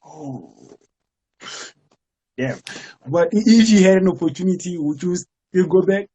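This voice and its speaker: A woman's voice: fairly low pitch, expressive, measured pace, mellow, husky. A woman with a South African accent hosting a casual, slangy interview. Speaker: interview host.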